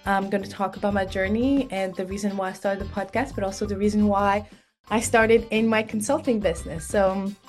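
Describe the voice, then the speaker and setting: A woman's voice: medium pitch, fairly even intonation, fairly quick, faint music behind. A woman from Senegal whose first language is French, hosting a solo podcast episode in a chatty, laughing way. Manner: chatty and warm, laughs often. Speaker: podcast host.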